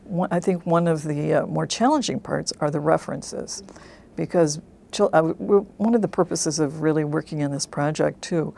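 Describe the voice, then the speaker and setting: A woman who teaches French, a guest author speaking in a measured, hesitant way in an interview. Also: low voice, creaky, warm tone, slight background noise.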